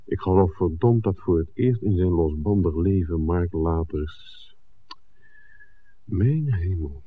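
An actor playing a wry, teasing screenwriter with drawling ironic asides in a 1960s Dutch radio drama. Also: male voice, deep voice, lively intonation, takes it slowly, slightly rough, heavily acted. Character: wry, teasing male screenwriter, good-humored companion. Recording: muffled, narrow old radio recording.

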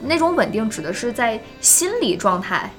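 A woman hosting a casual live stream, chatty and expressive, often repeating words for emphasis. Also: a little high, moderate pace, soft background music. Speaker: live-stream host for an audio drama.

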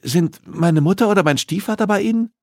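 A man's voice: high voice, brisk, a little mellow, no room sound, studio quality, voice pushed up, lightly acted. A man voicing a frightened, desperate girl in an audiobook, urgent and calling out. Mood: anxious, urgent. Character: frightened, desperate girl searching for her family. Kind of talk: audiobook character voice.

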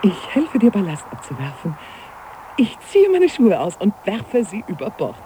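An actress playing a restless middle-aged wife in a German radio drama, mocking and daring, often dropping to a deliberate murmur. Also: female voice, medium pitch, huge pitch swings, mellow. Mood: reckless glee. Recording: noisy cassette copy of radio drama.

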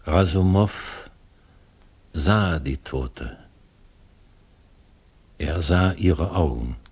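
A grave, observant older gentleman who tells the story. His voice is very low and husky. He speaks with formal, measured care, as in a 1960s radio play. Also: expressive, heavily acted. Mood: grave, narrating. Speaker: narrator and character. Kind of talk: 1960s German radio drama narration and acting.

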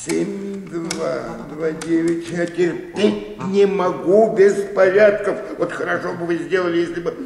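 An actor playing a sour, fussy elderly bookkeeper in a radio play, grumbling and halting as he repeats himself. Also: sits high, steady tone, creaky, a little mellow. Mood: muttering, fussy. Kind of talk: theatrical radio play acting. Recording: echoey hall, long reverb.

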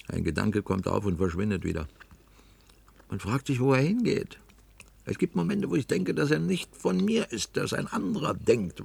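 An actor playing a weary, brooding middle-aged worker confined underground, musing with resignation in a science-fiction radio drama, his voice gravelly and husky. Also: sits low, heavily acted. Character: brooding, weary male worker confined to a cellar control room.

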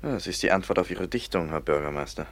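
An actor playing a sceptical, sharp-tongued police commissioner in a radio play. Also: male voice, deep voice, lively intonation, quick pace. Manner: sceptical, cutting.

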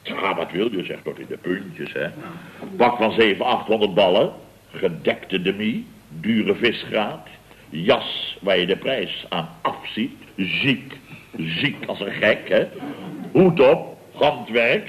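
A man's voice: lowish voice, expressive, slow, muffled recording. An actor playing a boastful, self-important male building contractor with a Dutch accent, telling tales in a pompous, stammering, chuckling way in a radio comedy serial. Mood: boastful, indignant. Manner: pompous, stammering repetitions, chuckling, mock indignation.

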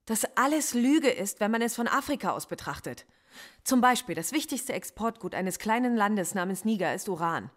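Radio drama: female actor as middle-aged intellectual professor under questioning, defiant, measured, argumentative, mid-range pitch. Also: lively intonation, natural voice. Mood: bitter, lecturing. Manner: measured, argumentative, coolly articulate. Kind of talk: German radio play acting.

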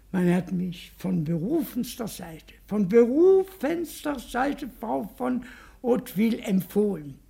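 A haughty baron serving a prince in a radio play. His high male voice is mellow but heavily fried and husky. He speaks in an affected, emphatic way, full of snobbish affront. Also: exaggerated voice. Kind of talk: German radio play acting.

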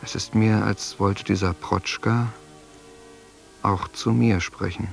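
Introspective son, deep creaky voice, sombre diary monologue, radio play, faint music behind. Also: male voice, steady tone, slow pace. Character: brooding grown son reading his diary aloud.

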